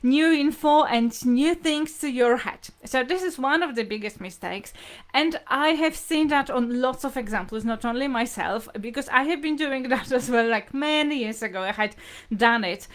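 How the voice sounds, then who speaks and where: A woman's voice: high-pitched, moderate pace. A woman hosting an informal live lesson, explaining things to viewers in a hesitant, repetitive way. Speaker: language teacher hosting livestream lesson.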